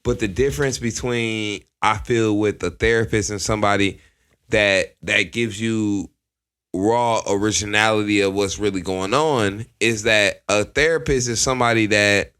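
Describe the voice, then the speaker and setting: A man's voice: on the low side, clear, resonant tone. A man in his twenties with a strong voice, arguing his point and holding the floor in a casual podcast chat. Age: 20s. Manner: forceful, holds the floor, argues his point. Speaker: podcast co-host.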